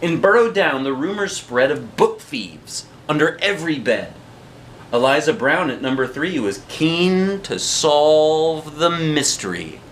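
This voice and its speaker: Animated man slowly reading a storybook aloud. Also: fairly high pitch, slight background noise. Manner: dramatic, rhythmic phrasing. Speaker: dad reading a picture book aloud. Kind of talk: expressive storybook read-aloud with casual asides to children.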